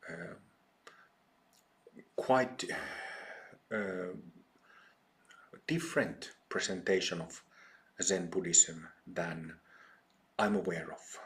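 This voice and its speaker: A middle-aged man with a Finnish accent gives a dharma talk to a small retreat group. His voice sits fairly low, and he speaks very slowly, pausing often and filling the gaps with ums and uhs. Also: fairly bright tone. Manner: slow, hesitant, many ums and pauses. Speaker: meditation teacher.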